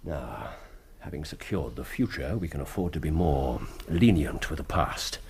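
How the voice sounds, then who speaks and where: Radio drama lead: stern Victorian sleuth, upper-class English, precise, authoritative, highly modulated. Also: male voice, animated, moderate pace, gravelly, background noise, full character voice. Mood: brisk, commanding. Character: commanding, stern, shrewd Victorian gentleman detective.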